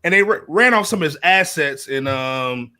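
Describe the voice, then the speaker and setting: A man's voice: on the high side, lively intonation, normal speed, sharp tone, clear, resonant tone. A man hosting a casual hip-hop podcast, talking in an informal, slangy, joking way. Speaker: podcast host.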